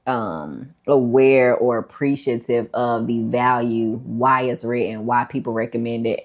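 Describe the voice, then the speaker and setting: A woman talking casually as a book review vlogger, conversational and emphatic, repeating herself to make a point. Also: very deep voice.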